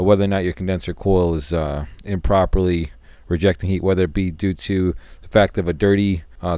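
A young man in his twenties, an HVAC technician, explaining a repair step by step on a rooftop in a relaxed way, with many fillers. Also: sits low, smooth, no room sound. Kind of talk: on-the-job teaching. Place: rooftop outdoors beside running equipment. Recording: loud machine noise outdoors.

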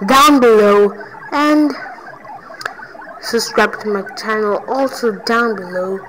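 High-voiced gamer girl narrating her let's play real slow, yelps at wins and fails, faint hiss behind. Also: poor audio. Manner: excitable, reacts loudly to wins and deaths. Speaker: gaming channel host.

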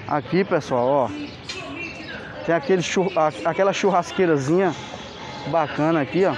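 A man's voice: on the high side, moderate pace. A man with a Pernambuco accent filming a walking tour of an outdoor street market, pointing things out and asking viewers for likes. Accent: Pernambuco Portuguese. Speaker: travel vlogger. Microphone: handheld camera. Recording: lo-fi camera audio with street noise.

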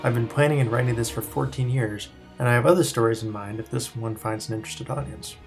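A man's voice: medium pitch, steady tone, fast. A man presenting his own project in scripted promotional narration. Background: soft music.

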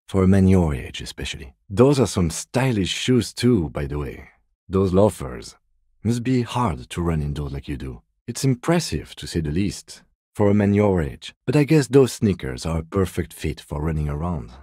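An actor playing a reserved, principled middle-aged police detective in a video game, with a measured, dry and formal manner. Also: male voice, deep voice, sing-song, dark tone, breathy edge, no room sound, exaggerated voice. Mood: dryly polite.

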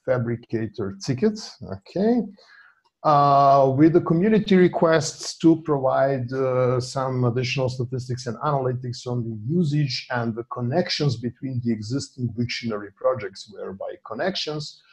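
Halting man with a gravelly voice. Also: mid-range voice. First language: Serbian. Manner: halting, many fillers and restarts while clicking through a screen. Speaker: data scientist presenting a dashboard. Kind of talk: screen-share presentation at an online meeting. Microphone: video call.

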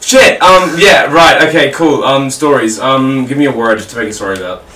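A man's voice: mid-range voice, normal speed. A man joking crudely and casually as he chats over gameplay and makes up silly stories. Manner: jokey, crude, giggly. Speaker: gaming video co-host improvising stories. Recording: distant mic with faint noise, roomy.

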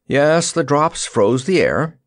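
A man acts out a gallant, optimistic wizard from a children's tale. The voice is raised high and calls out heartily with big swoops, in a dry recording. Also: sing-song, a little slow, dry recording, full character voice. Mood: bright, hearty. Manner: loud, hearty, calling out. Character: cheerful, gallant old wizard shouting optimistically.

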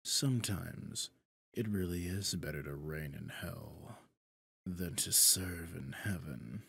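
A man voicing a possessive, suave demon lord in a roleplay monologue, pompous and deliberate, often dropping into breathy half-whispers. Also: low voice, fairly bright tone, no room sound, studio quality, exaggerated voice. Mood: smug, sly. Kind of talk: scripted ASMR roleplay monologue.

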